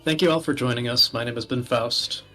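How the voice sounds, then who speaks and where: A man's voice: medium pitch, a little fast, music in the background. A man co-hosting a podcast over an online call, chatting casually in a hesitant way. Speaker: podcast co-host. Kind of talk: casual chat. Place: remote voice call.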